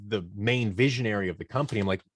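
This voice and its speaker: A man gives casual interview answers in a slightly low voice. His sound is dry, with little room in it. He talks informally, slipping into imagined quotes as he explains. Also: quick pace, no echo. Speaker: podcast guest, audio drama producer.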